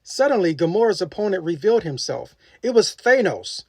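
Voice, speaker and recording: A woman narrates a children's storybook in a dramatic, expressive way. Her voice sits slightly low but moves widely in pitch. The sound is dry, with hardly any room in it. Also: fairly quick, husky, dry recording. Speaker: storybook reader. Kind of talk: animated scripted children's narration.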